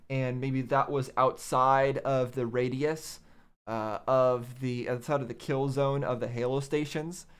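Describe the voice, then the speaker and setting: A man's voice: medium pitch, clear, resonant tone. A man hosting a game reaction channel, thinking aloud in casual commentary and repeating phrases as he reasons.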